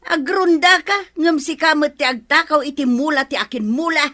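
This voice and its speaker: A woman playing a nagging, suspicious village wife with an Ilocano accent in a radio comedy drama, scolding and sharp-tongued. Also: high-pitched, normal speed. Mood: exasperated.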